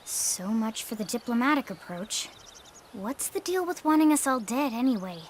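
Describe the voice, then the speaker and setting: An actress playing a young, earnest squad member in a video game, speaking in an informal way. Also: female voice, a little high, animated, moderate pace, slight background noise, natural voice. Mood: wry. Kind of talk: video game cutscene acting.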